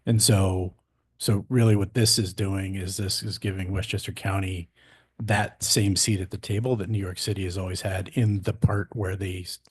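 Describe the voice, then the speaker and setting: A man chimes in at a local board meeting with a very low, husky voice. He talks in a measured, agreeable way, often stopping to restart his phrases. His delivery stays calm and unhurried. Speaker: board member. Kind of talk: public meeting remarks.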